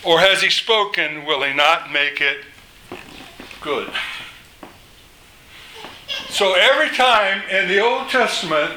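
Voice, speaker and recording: An older man delivers a sermon in a bright, forward voice, slow and deliberate. He lifts his pitch and volume when he quotes scripture. A faint hiss of noise sits under the recording. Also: rough recording. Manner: loud voice. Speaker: preacher.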